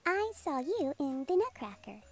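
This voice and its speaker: A woman's voice: high-pitched, sing-song, moderate pace, soft background music. A woman voicing an eager, shy young girl who studies ballet, with an earnest, childlike manner, in a storybook reading. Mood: shy admiration.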